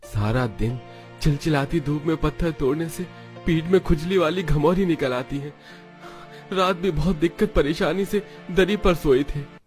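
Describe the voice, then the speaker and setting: A man's voice: on the high side, moderate pace, a little mellow, faint music behind, natural voice. A man playing a poor, weary labourer husband from a village in a cartoon story, given to grumbling. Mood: weary complaining.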